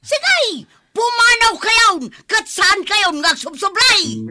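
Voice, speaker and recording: A woman's voice: extremely high pitch, big pitch swings, slow pace, music in the background, full character voice. An actress playing a proud, quarrelsome older mother in a radio drama, indignant and scolding. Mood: furious scolding. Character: proud, quarrelsome, stubborn older mother who refuses to apologize. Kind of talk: Filipino radio drama acting.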